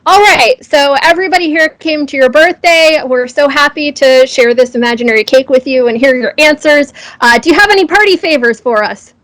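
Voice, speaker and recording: A woman's voice: high voice, brisk, hardly any pauses, fairly bright tone, rough recording. A woman hosting a casual live interview, enthusiastic and appreciative as she puts questions to her guest. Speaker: interview host.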